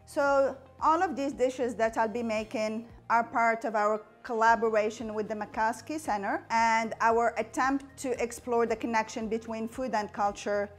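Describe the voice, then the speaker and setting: Woman from Morocco, forties, cooking demonstration, slightly high voice, faint music behind, lapel mic. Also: steady tone, normal speed, few pauses. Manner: friendly step-by-step explaining while cooking. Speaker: cooking show host. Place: home kitchen, indoors.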